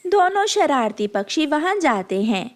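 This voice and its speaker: A woman's voice: high voice, big pitch swings, unhurried. A woman narrating a scripted children's story as the narrator.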